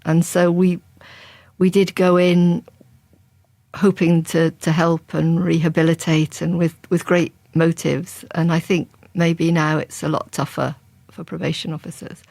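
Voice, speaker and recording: A woman's voice: fairly low pitch, flat delivery, measured pace, vocal fry, a little mellow, dry recording. A woman from England, a crime writer, answering interview questions in a reflective, conversational way. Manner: reflective and conversational, with frequent restarts. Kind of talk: interview guest.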